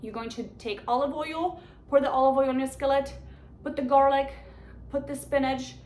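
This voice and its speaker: A woman's voice: sits high, fairly even intonation, normal speed, darkish tone. Polish-accented woman hosting a cooking demo. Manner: chatty, enthusiastic step-by-step instruction. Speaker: cooking show host. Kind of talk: cooking demonstration. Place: home kitchen. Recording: echoey kitchen with background noise.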